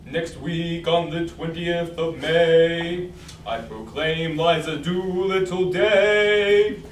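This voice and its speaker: A woman voicing a pompous old king who makes slow, lordly proclamations, recorded from far off on an echoey stage. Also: fairly low pitch. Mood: grandly generous. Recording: echoey stage, mic far off, faint noise.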